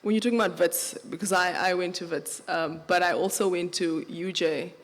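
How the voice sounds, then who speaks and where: A woman's voice: on the low side, steady tone, a little fast. Joburg woman speaking in a reverberant hall. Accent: Johannesburg South African. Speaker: panelist. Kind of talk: panel discussion. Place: festival venue with long reverb. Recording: live hall recording with reverb.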